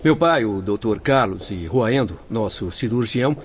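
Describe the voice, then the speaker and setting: A man's voice: fairly low pitch, expressive, normal speed, lightly acted. A man playing a helpful, courteous doctor in a radio drama, polite and formal. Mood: caring. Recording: muffled audio, faint background noise.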